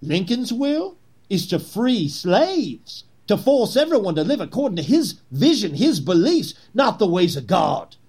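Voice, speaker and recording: Guy doing zealous confederate plotter in a period drama, husky, theatrical, very animated pitch, takes his time. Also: a little slow, exaggerated voice. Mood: righteous. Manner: theatrical, urgent, oratorical. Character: fervent, persuasive Confederate conspirator, a zealous man of 1865. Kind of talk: period historical drama acting.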